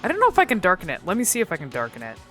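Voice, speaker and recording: A woman's voice: on the low side, huge pitch swings, very fast, a bit bright, faint music behind. A woman hosting casual, unscripted game commentary, musing and thinking aloud in a hesitant way. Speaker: video host exploring game cutscenes.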